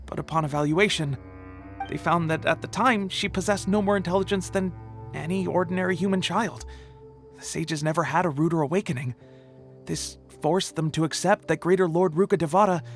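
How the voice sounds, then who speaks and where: A man's voice: on the high side, lightly acted. A man playing an earnest, somewhat haughty scholar in a video game, explaining things in formal speech. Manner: expository, formal. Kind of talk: scripted game dialogue.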